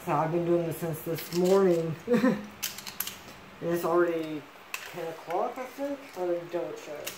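A man hosting a home video of toy battles, chatting casually and calling out the scores in short, relaxed bursts. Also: on the high side, measured pace, noisy background. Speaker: beyblade battle host. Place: indoors, at a table with a stadium.

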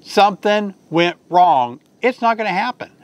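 A man's voice: high-pitched, animated, moderate pace, no room sound. An older man teaching beekeeping in a casual vlog, chatty and often asking viewers questions, recorded outdoors by a hive. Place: outdoors by a hive, then a covered porch.

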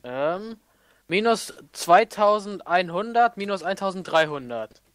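A man chatting casually and excitably as he plays a game with friends. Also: high voice, measured pace, clean tone. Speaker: let's player in a group. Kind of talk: casual gaming chat.

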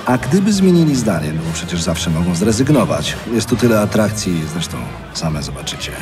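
An actor playing a self-assured, boastful man in his forties in a naturalistic audio drama, flattering and smooth-talking. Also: on the low side, brisk, music in the background, a crowd in the background. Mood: confiding, opinionated. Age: middle-aged.

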